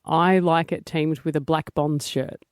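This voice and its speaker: An Australian woman co-hosting a breakfast radio show from a studio, teasing and enthusiastic, with quick laughs. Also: deep voice, steady tone, clean tone, no echo. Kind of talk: casual breakfast radio banter.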